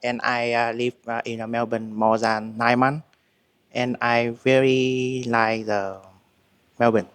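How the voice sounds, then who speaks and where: A man from Vietnam with a Vietnamese accent, a student being interviewed, answering haltingly in simple English. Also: medium pitch, little pitch movement, fairly bright tone, clean tone.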